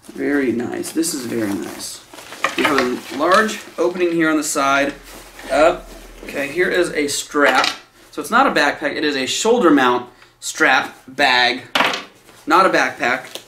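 A man's voice: fairly high pitch, unhurried, roomy sound, background noise. A man in his thirties casually reviewing a backpack for his viewers, chatty and enthusiastic, calling them guys. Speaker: product reviewer. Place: small indoor room with a decorated Halloween backdrop.

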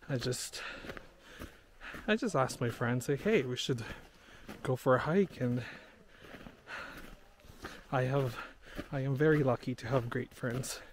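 A man chatting casually to a handheld camera on an outdoor trail, in an informal, halting, encouraging way. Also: mid-range voice, noisy background. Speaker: hiking vlogger. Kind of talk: casual vlog chat to camera. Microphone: handheld pocket camera. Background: background music.

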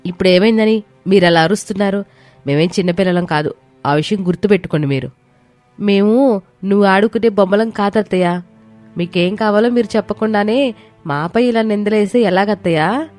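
A woman's voice: medium pitch, a little fast, long pauses, a little mellow, no echo, natural voice. Woman playing young daughter-in-law, plaintive, defiant, argumentative, dramatized family story, background music. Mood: indignant. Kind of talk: dramatized moral story voice acting. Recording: music behind the voice.

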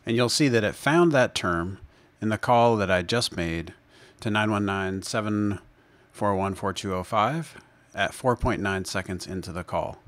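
Man, fairly deep pitch, software demo narration, measured, explanatory, informal walkthrough. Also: dry recording. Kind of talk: screencast tutorial narration, unscripted.

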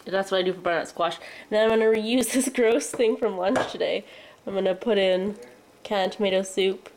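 A young woman with a cold talks through her day into a handheld camera at arm's length, her voice thick and congested. She rambles casually and laughs often, and her pitch sits in an ordinary middle range. The home recording carries a clear layer of background noise. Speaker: vlogger. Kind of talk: casual food diary vlog. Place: home kitchen and living room.

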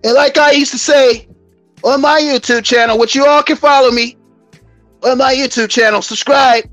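An American man of about fifty delivers a comedic testimony in a theatrical preacher style. His voice has plenty of gravel and fry. It sounds dry, with music underneath. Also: extremely high pitch, steady tone, moderate pace, fairly bright tone, no echo. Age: around 50. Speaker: YouTube live stream host. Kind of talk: comedic mock church testimony.

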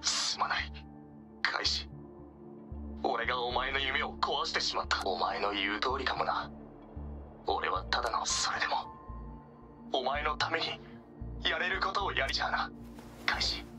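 A man voicing a regretful older brother, speaking low and hushed as if from far off. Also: animated, normal speed, long pauses, natural voice. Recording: distant, with loud game music.

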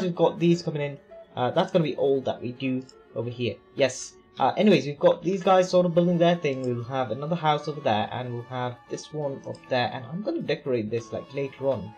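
A man's voice: medium pitch, darkish tone. A man with a tired, failing voice gives casual, rambling commentary on his city-building game, full of fillers and questions to himself. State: voice going hoarse. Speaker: let's play gamer.

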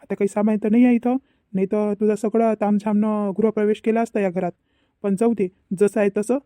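A man's voice: sits high, level tone, brisk, warm tone, dry recording, clean studio sound, lightly acted. A man playing an earnest, protective young husband in a cartoon family drama, with a Varhadi Marathi accent. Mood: earnest, tender. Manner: earnest, explanatory.

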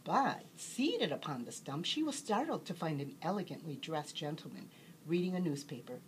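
A woman reading a children's bedtime story aloud as an expressive storyteller. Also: sits low, normal speed, slight background noise, poor audio.

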